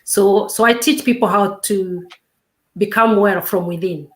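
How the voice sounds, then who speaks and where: A woman in her fifties, a coach, speaking as an interview guest in a measured, reflective way with frequent pauses and restarts. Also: mid-range voice. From Kenya. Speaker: guest, self-discovery coach. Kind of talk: casual interview chat.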